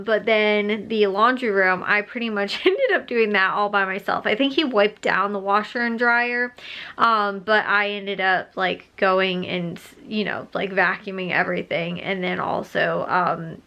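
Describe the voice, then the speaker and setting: A woman's voice: medium pitch, pushed and bright. A young woman vlogger chatting casually, rambling with lots of fillers and restarts, a bit stuffed up. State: stuffed up nose. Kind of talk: casual vlog chat.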